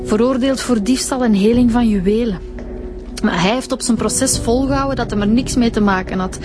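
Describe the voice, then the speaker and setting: An actress playing a brisk Flemish police inspector who reports facts plainly, in a radio drama. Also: female voice, fairly high pitch, music in the background, lightly acted.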